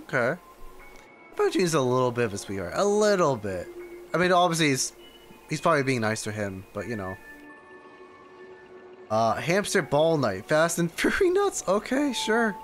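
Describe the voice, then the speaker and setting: A man's voice: medium pitch, sing-song, normal speed, pauses often. Young guy streamer reacting to a game, casual rambly talk, very up n down, bit of music. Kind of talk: casual live gameplay reaction. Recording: light game music behind. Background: video game music.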